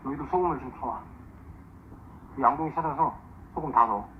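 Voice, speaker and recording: A man's voice: medium pitch, racing, natural voice. An actor playing a terse man in a crime drama, heard in dull, noisy playback. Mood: businesslike. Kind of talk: screen drama dialogue.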